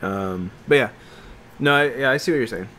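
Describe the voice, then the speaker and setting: A man with a mid-pitched voice co-hosts a casual podcast. He talks like an excitable fan and swears freely. There is some faint noise and room ambience behind him. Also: normal speed. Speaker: podcast co-host.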